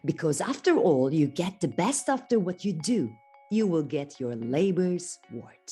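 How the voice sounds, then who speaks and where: A wise, caring mother pig from a storybook, as a woman puts her on, earnest and instructive. The voice lifts higher and swings widely, slightly breathy, with faint music behind. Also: sing-song, measured pace, mellow, exaggerated voice.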